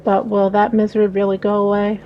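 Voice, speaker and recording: A woman's voice: medium pitch, completely flat delivery, brisk, lo-fi sound, slight background noise, lightly acted. A woman voicing a lonely, wistful female passenger in a visual novel, musing and hesitant. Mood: pensive. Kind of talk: visual novel read-aloud.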